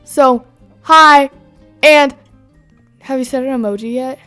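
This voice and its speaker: A woman's voice: high-pitched, slow, sharp tone, faint music behind. A young woman, the host's sister, teasing playfully as she barges into the recording.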